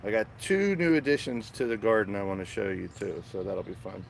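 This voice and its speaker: Raspy guy livestreaming from his backyard, kinda low voice, greeting chat, bit of outdoor noise. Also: brisk. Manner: chatty, greets viewers by name. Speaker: live stream host. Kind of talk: casual live-stream chat. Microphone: small phone-mounted microphone.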